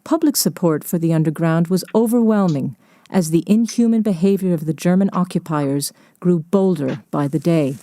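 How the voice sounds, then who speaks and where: Female documentary reporter, mellow and slightly low, scripted narration, dry close recording. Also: moderate pace, no room sound.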